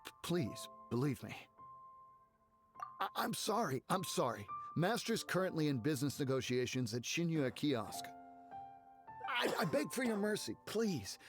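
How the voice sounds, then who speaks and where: An actor playing an officious but easily intimidated guard in a video game, with formal, deferential speech. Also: male voice, a little high, very animated, measured pace. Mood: panicked, pleading. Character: officious, easily intimidated male guard serving a merchant master. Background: faint game music.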